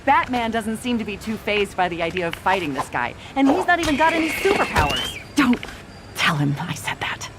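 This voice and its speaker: A woman playing a confident, plucky newspaper reporter in an animated video game, crisp and self-assured. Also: mid-range voice, brisk, lightly acted. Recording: clearly noisy background.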